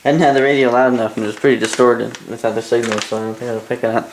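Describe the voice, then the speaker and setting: A man's voice: mid-range voice, flat delivery, rapid-fire, a little hiss or hum, low-quality recording. A Canadian man giving a casual, rambling hobbyist product review, with grumbling asides and mild swearing.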